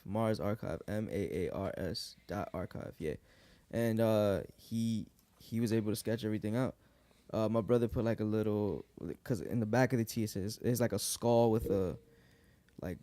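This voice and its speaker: A young man in his twenties from New York, a guest rapper chatting casually in a podcast interview, relaxed and loose with lots of fillers. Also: lowish voice, no echo. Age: 20s. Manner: relaxed, lots of likes and restarts. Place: living room podcast set, indoors. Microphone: boom studio mic.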